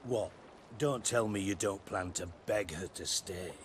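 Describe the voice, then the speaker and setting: An actor playing a coarse, heavyset middle-aged spymaster in a video game, wry and theatrical, with a mocking manner. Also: male voice, low voice, measured pace, a little hiss or hum. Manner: mocking, crude, wordy storytelling. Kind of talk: video game cutscene dialogue.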